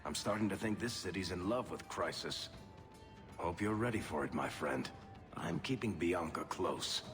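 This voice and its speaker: An actor playing a roguish, world-weary tavern storyteller in a video game, glib, wry and familiar. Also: male voice, low voice, expressive, normal speed. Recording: long hall reverb, music behind.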